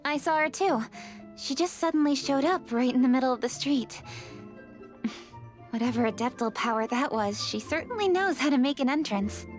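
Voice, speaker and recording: A woman's voice: high-pitched, expressive, moderate pace. An actress playing a poised, polite young noblewoman in a video game, courteous and precise in her speech. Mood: matter-of-fact. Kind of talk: video game character acting. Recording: light music behind.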